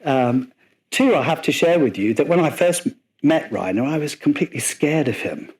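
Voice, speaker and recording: A man's voice: a little high, expressive, normal speed, darkish tone. A man hosting a session and introducing the guest speaker, hesitant, with many fillers. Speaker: program host.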